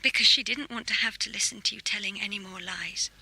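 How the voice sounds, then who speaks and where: An actress playing an upset, reproachful young British daughter in a radio drama, blunt and halting. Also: female voice, mid-range voice, sharp tone, slight background noise, rough recording.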